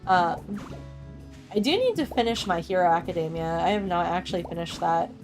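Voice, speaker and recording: A woman's voice: on the low side, expressive, moderate pace, over music. A young adult woman streaming a game, chatting casually with viewers, rambling from thought to thought and laughing often. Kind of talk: casual gameplay chat with viewers.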